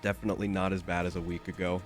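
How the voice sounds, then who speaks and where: Low-voiced congested young man chatting. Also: flat delivery, rapid-fire, soft background music. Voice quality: nasal. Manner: rambling, self-interrupting, lots of fillers. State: congested, slightly nasal. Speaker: streamer. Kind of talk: casual chat while gaming.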